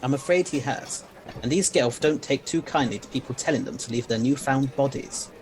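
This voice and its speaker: A man plays a brisk, wry scientist-adventurer in an audio drama. He explains things formally and a little grandly, in a medium-pitched voice. Clear background noise sits behind him. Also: a little mellow, lightly acted, poor audio. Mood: grave, explanatory.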